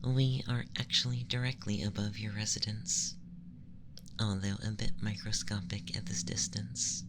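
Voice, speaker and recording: An ASMR performer voicing a calm, condescending young male alien abductor in a breathy, often whispered, gentle and formal monologue. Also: mid-range voice, normal speed, background noise, close to an everyday voice. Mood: mildly condescending. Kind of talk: ASMR roleplay, scripted monologue.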